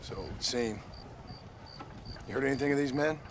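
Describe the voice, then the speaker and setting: An actor voicing a laconic, weary outlaw-turned-hunter who speaks in a dry, terse and polite way. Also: male voice, medium pitch, moderate pace, gravelly, lightly acted. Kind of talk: video game cutscene acting. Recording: noisy outdoor game soundscape.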